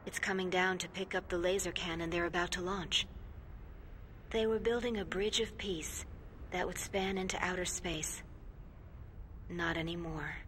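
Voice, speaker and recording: An actress playing a reflective military pilot, narrating a video game war story in a somber, measured way. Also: female voice, on the low side, fairly even intonation, fairly bright tone, slight background noise, lightly acted.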